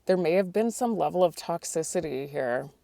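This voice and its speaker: A woman's voice: lowish voice, lively intonation, moderate pace, vocal fry, dark tone, no echo. A woman doing a tarot card reading, talking hesitantly and often restarting her sentences, and she is losing her voice. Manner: hesitant, restarts often, asks the cards aloud. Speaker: tarot reader.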